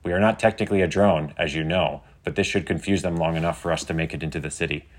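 A man's voice: sits low, steady tone, quick pace. A small, loyal storybook robot acted by a man, once a humble calculator. It speaks with earnest, formal precision, treating every detail like official data. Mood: matter-of-fact. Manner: formal, precise, recites codes and numbers. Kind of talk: scripted children's audio story.

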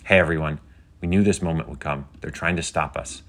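A man voicing an excitable, geeky schoolgirl who chats brightly to livestream viewers in a children's story. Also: very deep voice, a little fast. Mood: hushed, anxious. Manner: bubbly, chatty, addressing viewers. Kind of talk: scripted children's audio story.

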